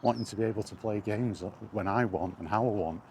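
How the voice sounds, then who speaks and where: A man's voice: lowish voice, a little fast, darkish tone, slight background noise. A man ranting indignantly in a casual spoken vlog, recorded while walking outdoors. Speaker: gaming vlogger.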